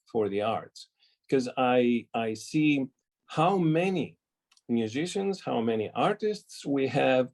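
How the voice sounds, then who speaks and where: A man's voice: mid-range voice, darkish tone. A middle-aged man from Greece with a Greek accent, speaking hesitantly with many fillers as a community member on an online public meeting. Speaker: community member asking a question. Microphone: video call.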